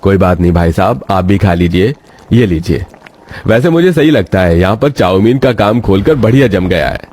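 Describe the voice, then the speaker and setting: A man voicing a kindly, soft-hearted middle-aged noodle vendor and father in a story, friendly and fatherly. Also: low voice, expressive, moderate pace. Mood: cheerful.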